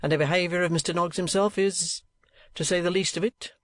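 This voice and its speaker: A pompous older uncle, quick to take offence and sharp in his retorts, as a man voices him. He speaks a little higher than the narration and with added force. The storybook reading sounds dry. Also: fairly even intonation, no echo. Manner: sharp, huffy and self-important. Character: pompous, touchy older male rich uncle. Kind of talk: audiobook character voice.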